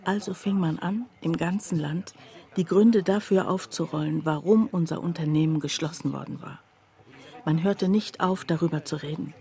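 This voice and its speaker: An actress playing a sombre townswoman who recounts a friend's terrible deed in measured radio drama narration, often dropping to a hush. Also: on the low side, lightly acted.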